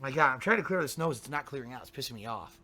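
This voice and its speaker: A man with a cold, hoarse and congested, chatting casually to his viewers before a game stream, grumbling and ranting and clearing his throat often. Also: medium pitch, expressive, racing.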